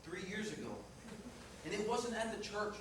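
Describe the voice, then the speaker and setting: A man's voice: high-pitched, moderate pace, natural voice. A man playing a frank, remorseful working-class ex-fiancé in a staged reading, speaking in a plain, matter-of-fact way. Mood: frank, contrite. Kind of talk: staged reader's theater dialogue. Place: indoor performance room, distant microphone. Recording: echoey noisy room recorded from far off.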